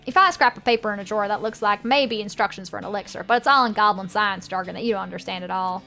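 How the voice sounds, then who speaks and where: A woman's voice: medium pitch, quick pace, creaky, sharp tone, faint music behind. A woman with a put-on Southern US accent, casually commenting on a game and reading its text aloud. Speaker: game streamer.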